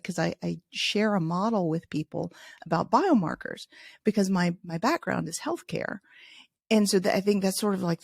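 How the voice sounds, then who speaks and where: Middle-aged woman from Chicago, native Spanish speaker, no accent; gravelly, talkative, dry mic. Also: medium pitch, darkish tone, no echo. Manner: chatty, many restarts, laughs easily. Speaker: podcast host and author, interviewed as guest. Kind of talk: casual podcast interview.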